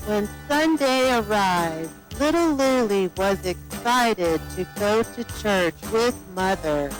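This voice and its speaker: A woman reading scripted narration for a children's storybook in a simple, deliberate storytelling manner. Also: medium pitch, animated, faint music behind, rough recording.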